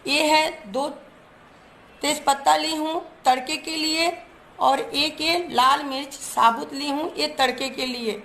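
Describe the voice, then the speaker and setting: Hoarse woman hosting a home cooking video, chatty step-by-step explainer, slight fry in her voice. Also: high voice, steady tone, slow pace, a bit bright, a little hiss or hum. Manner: chatty, explains each step while showing ingredients. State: hoarse from a cold. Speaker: cooking channel host.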